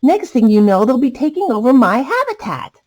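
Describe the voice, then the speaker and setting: A woman's voice: sits high, sing-song, normal speed, darkish tone, breathy edge, pitched above the performer's own voice, exaggerated voice. A woman voicing a vain, jealous male giraffe in a storybook, huffy, dramatic and self-important. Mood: huffy, grumbling.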